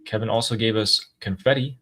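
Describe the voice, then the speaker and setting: Low-voiced chatty male stream host. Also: steady tone, brisk, fairly bright tone. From United States. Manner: chatty, thinks aloud with fillers and throat clearing. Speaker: co-host reading viewer super chats. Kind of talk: casual live-stream chat.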